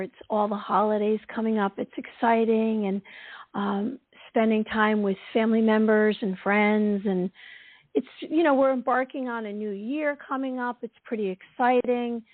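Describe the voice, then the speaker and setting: An East Coast American woman co-hosts a spiritual talk show in a fairly high voice that is still recovering. She speaks earnestly and halts now and then to find her words. The sound is dry and tinny, like a phone call. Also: dry recording. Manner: earnest, halting with fillers. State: recovering from lost voice. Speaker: co-host, intuitive wisdom coach. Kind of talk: casual spiritual radio talk.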